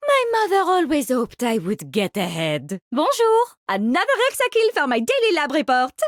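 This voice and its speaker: A woman's voice: high voice, huge pitch swings, moderate pace, dry recording, studio quality. A woman playing an eccentric, haughty mycologist with a French accent, theatrical and gleefully morbid, in video game voice lines. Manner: theatrical, savoring, condescending.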